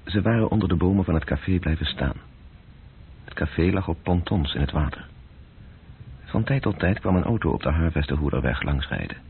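A man narrating a literary radio drama in a measured, descriptive way, with a deep, gravelly voice. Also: lively intonation, exaggerated voice. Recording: muffled narrow-band radio play recording.

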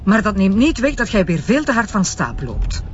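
Chiding colleague: Flemish, high and animated, conversational crime radio drama delivery. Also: male voice, moderate pace. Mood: defensive. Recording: dull lo-fi sound with faint noise.

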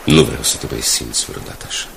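An actor playing a kindly ageing father and company director in a radio drama, speaking in a courteous, measured, fatherly way. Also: a bass voice, expressive, gravelly, fairly bright tone, heavily acted. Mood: tender, grateful. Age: older. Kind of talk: Romanian radio drama acting. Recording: 1980s radio drama, faint background noise.

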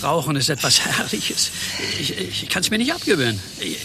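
A man's voice: fairly high pitch, wildly swooping pitch, moderate pace, background noise. An actor playing a nervous, easily flustered programmer in a radio play, stammering and hesitating as he talks. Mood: chatty, uneasy. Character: nervous, easily flustered male programmer, guilty secret smoker. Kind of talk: German radio play acting.

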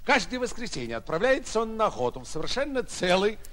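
Jovial, opinionated village pharmacist, 1940s radio play: high voice, hugely swooping intonation. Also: male voice, big pitch swings, quick pace, fairly bright tone. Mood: enthusiastic. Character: jovial, opinionated small-town pharmacist, admiring and gullible. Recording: slightly noisy 1940s radio recording.

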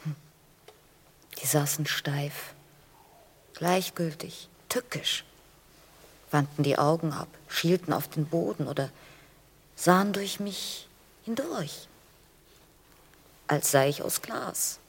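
An actress playing a mild, resigned and gently ironic mother in a radio play, husky and understated. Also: low voice, takes it slowly, long pauses, full character voice. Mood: quietly recounting. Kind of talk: German radio play acting.

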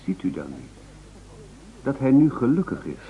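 An actor playing a bossy, smooth-talking ringleader in an absurdist radio play, politely flattering and coaxing his victim in a patronising way. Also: medium pitch, moderate pace. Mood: impatient, hushed. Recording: muffled radio recording with faint noise.

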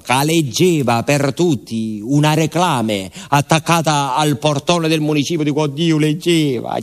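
A man's voice: medium pitch, natural voice. An actor playing a garrulous village postman who recounts his youth in a southern Italian accent, with folksy asides, as a theatrical radio monologue. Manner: colloquial, folksy asides and repeated interjections.

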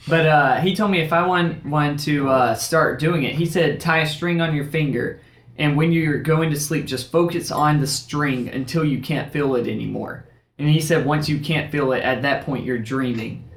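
A young man from Kentucky co-hosting a podcast, talking in a rambling, halting way. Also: a little high, reverberant. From Kentucky, USA. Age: young adult. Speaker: podcast co-host. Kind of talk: casual chat.

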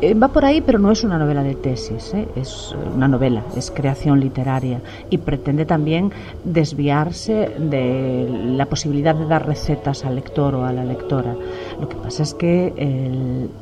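Writer, middle-aged woman, low voice, calm and reflective, music playing softly underneath. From Galicia. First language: Spanish. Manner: measured, reflective, many "eh" pauses. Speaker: novelist, interviewee. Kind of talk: thoughtful interview answers.

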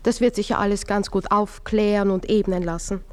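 An actress playing a poised young married daughter in a classic radio play, speaking earnestly and with genteel manners. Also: female voice, medium pitch, steady tone, brisk, a little mellow, clean tone, close to an everyday voice. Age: young adult.

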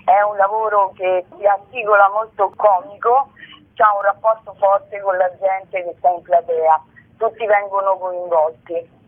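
A woman's voice: medium pitch, fairly even intonation, unhurried. A woman, answering as a theatre artist in a radio interview. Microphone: telephone. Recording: narrow tinny phone line.

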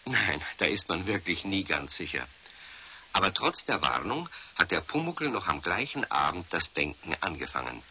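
Deep, bright-voiced male storyteller. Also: moderate pace. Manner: animated, addresses the listeners directly. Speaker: narrator. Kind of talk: scripted storytelling for children. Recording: slightly hissy old radio recording.

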